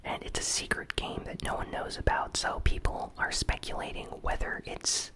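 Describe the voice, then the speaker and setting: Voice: normal speed, sharp tone. Whispered ASMR gaming news host. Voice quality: breathy, unvoiced whisper. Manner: casual, conversational.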